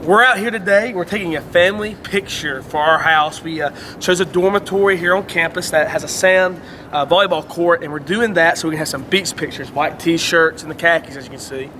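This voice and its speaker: A man's voice: on the high side, brisk, pushed and bright, reverberant, a little hiss or hum. A man, talking eagerly in a casual video submission. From Alabama. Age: 20s. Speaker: college student, small group leader.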